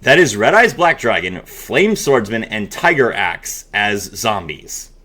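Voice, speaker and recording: A man hosting a dramatic reading mixed with excited reaction commentary, expressive and given to amazed exclamations. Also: lowish voice, measured pace. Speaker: host.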